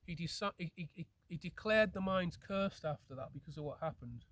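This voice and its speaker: Male folklore storyteller, voice on the higher side, conversational, halting and repetitive phrasing. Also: a bit bright, no echo. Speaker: storyteller, host. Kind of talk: conversational telling of local folklore.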